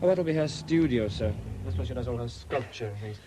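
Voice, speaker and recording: Actor as a quick-talking, deferential police sergeant. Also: male voice, mid-range voice, lively intonation, natural voice. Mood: obliging, chatty. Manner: informal, obliging, deferential. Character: deferential, chatty male local police sergeant. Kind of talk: 1970s BBC radio drama acting. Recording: noisy old 1970s radio recording.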